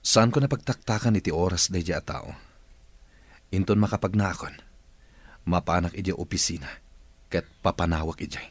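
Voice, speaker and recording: Stern boss in a radio drama, deep raspy voice, sounds close and dry. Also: male voice, normal speed, pauses often, no echo. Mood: stern, resolved. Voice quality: husky. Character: stern, determined male boss recovering in a wheelchair.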